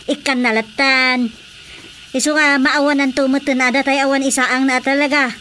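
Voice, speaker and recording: A woman's voice: high-pitched, fairly even intonation, normal speed, bright, forceful tone, a little hiss or hum. An actress playing a chatty, wistful older mother with a Filipino accent in a radio drama comedy. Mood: concerned.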